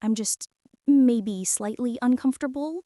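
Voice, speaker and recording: A woman's voice: fairly high pitch, measured pace, warm tone, no echo, natural voice. A young woman playing a prickly, defensive teenage school bully in an intimate girlfriend ASMR roleplay, sulky and sarcastic, close to the mic. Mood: stubborn. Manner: sulky, sarcastic, huffy, with sighs and whispers.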